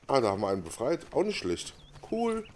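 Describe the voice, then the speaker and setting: A man's voice: mid-range voice, wildly swooping pitch, brisk, a little mellow, a little hiss or hum. A man giving casual, unscripted game commentary as host, muttering offhand reactions to himself. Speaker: gaming let's play host.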